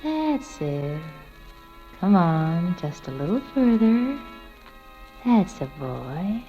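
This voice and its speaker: An actress playing an alluring, self-assured dream seductress, coaxing and intimate, in a 1940s radio drama. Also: female voice, on the low side, big pitch swings, extremely slow, warm tone, full character voice. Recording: muffled old radio recording, faint music.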